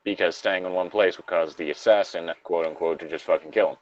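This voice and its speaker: A man's voice: deep voice, steady tone, a little fast, muffled recording. A man co-hosting a story-reading show, switching between informal, sweary banter and reading horror stories aloud. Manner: informal, profane.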